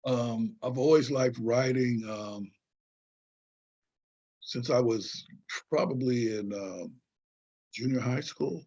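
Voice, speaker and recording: Older man, husky voice, digressive. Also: mid-range voice, takes it slowly, pauses often, a little mellow, dull audio. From Southern California. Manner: digressive, emphatic, checks in with rhetorical questions. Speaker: guest, historian and author. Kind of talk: interview conversation.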